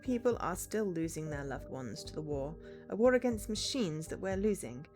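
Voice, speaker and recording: A woman's voice: low-pitched, lively intonation, normal speed, a little mellow, faint music behind. A woman voicing a guarded, businesslike female investigator in a visual novel, questioning and matter-of-fact. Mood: serious, matter-of-fact.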